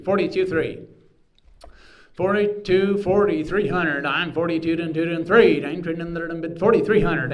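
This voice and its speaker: Higher-pitched male auctioneer, rhythmic chanting. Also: dull audio. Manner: repetitive rhythmic chanting with filler words. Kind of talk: live auction calling with rapid chant and plain explanations to bidders.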